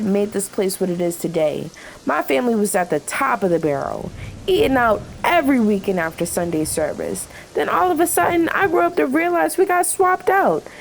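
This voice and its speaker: A woman's voice: on the high side, vocal fry, slight background noise, low-quality recording. A woman playing an overworked, devoted diner worker in a radio play, impassioned and hurt, piling up rhetorical questions. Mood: bitter, wistful.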